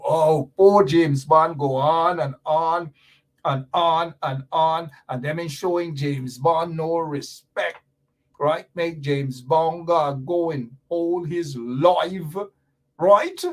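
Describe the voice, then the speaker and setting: Slow, sarcastic Guyanese radio host. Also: male voice, a little high. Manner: sarcastic, mocking, repetitive emphasis. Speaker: radio talk show host. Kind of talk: fiery political commentary.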